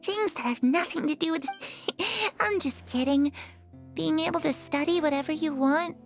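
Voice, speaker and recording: An actress playing a gentle, wistful teenage girl in a video game, earnest and soft-hearted. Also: high-pitched, moderate pace. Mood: dreamy, playful. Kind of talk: scripted video game dialogue. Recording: background music under voice.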